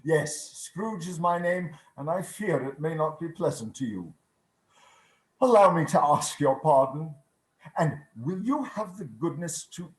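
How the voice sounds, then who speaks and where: An elderly reformed miser bursts with giddy generosity in a radio play. The voice is high and very animated, repeating phrases with exclamatory delight, over a roomy, echoing call. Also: male voice, a little slow. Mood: contrite, eager. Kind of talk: theatrical radio play reading. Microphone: video call.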